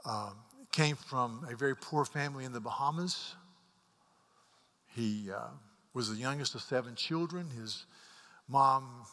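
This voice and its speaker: An earnest male preacher delivering a sermon, often stumbling into repeated words. His voice climbs to forceful emphasis, then falls to near-whispered asides. It rings out with the long reverb of a large sanctuary. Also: medium pitch, measured pace, long pauses. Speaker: pastor.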